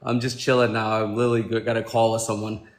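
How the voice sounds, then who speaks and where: A man's voice: fairly low pitch, level tone, fast, reverberant. A man in his forties, born in Pakistan, hosting a casual livestream chat from a room at home, calling people bro and my man. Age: 40s. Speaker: livestream host.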